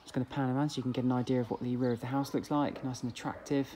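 A man with an English accent giving a relaxed, chatty walk-through of a house for sale, filmed on a handheld phone indoors and in the garden. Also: mid-range voice, racing, slight background noise. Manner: relaxed, chatty, plain sales patter. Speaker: estate agent giving a house tour. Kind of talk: walk-through property tour narration. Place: inside a detached house and its back garden. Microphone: handheld phone camera.

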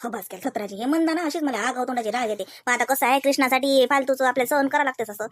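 Woman playing a high-pitched, chatty cartoon housewife. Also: racing, dry recording, natural voice. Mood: irritated, grumbling. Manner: polite and chatty, with catty muttered asides. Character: homely, plain-living housewife hostess, privately sharp-tongued. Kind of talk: exaggerated cartoon comedy dialogue.

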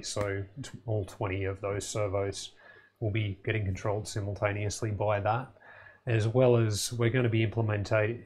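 A man in his thirties with a low voice and very little rise and fall gives a casual video update from his small home electronics lab. He talks in a relaxed, matter-of-fact way, with plenty of "um"s, and sounds slightly hoarse. The sound comes from a camera mic a short way off. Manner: relaxed, matter-of-fact, many "um"s and "you know"s. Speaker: electronics channel host.

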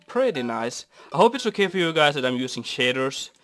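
A young man from Norway, raspy and stuffy from a cold, rambling easily with many fillers while commenting on a building game. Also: fairly high pitch, sing-song, brisk. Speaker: Minecraft builder. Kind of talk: casual gameplay commentary. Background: soft guitar music.

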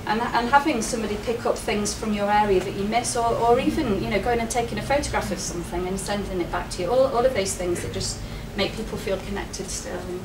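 A woman's voice: mid-range voice, fast, hardly any pauses. A middle-aged woman giving a chatty presentation to a room, laughing often. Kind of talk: public presentation. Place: wood-panelled meeting room with projector. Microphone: far camera, no mic. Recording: echoey room, distant camera, noisy.

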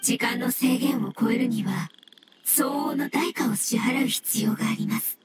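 A woman's voice: a little high, measured pace, loud music behind the voice, close to an everyday voice. A woman playing an earnest, deferential fungus spirit in an anime game, speaking politely and formally. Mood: solemn, respectful. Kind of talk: Japanese anime game voice acting.

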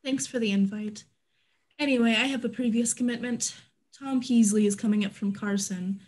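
A woman's voice: fairly high pitch, fairly even intonation, normal speed, darkish tone, close to an everyday voice, rough recording. A woman in a play reading, voicing a candid, proud businesswoman of an Old West town. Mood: guarded, proud. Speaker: actor in an online staged reading. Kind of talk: scripted stage play reading. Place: indoors at home, on a group video call.

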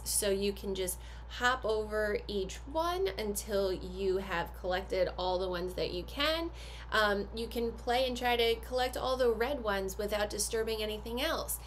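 A woman's voice: mid-range voice, a little hiss or hum. An American woman in her thirties talks chattily and instructively to camera, presenting products and addressing the viewer with questions. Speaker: presenter of subscription box unboxing. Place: small indoor home office.

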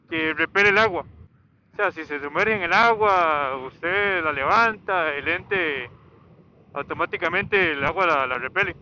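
A man chatting casually and unscripted as he rides a motorcycle, rambling, hesitant and repeating himself, recorded through a helmet intercom microphone. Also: high voice. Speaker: motorcycle vlogger. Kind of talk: casual unscripted chat while riding. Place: outdoors, riding a motorcycle on a highway. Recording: muffled helmet intercom mic, steady vehicle noise. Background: faint motor and road noise.

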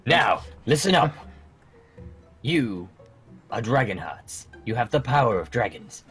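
A man playing a solemn dragon mentor in human form with a measured, portentous manner, in a gaming roleplay. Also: medium pitch, big pitch swings, a bit bright, husky, soft background music, exaggerated voice. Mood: solemn, instructive. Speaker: mentor training young dragon riders. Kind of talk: fan roleplay acting in a gaming video.

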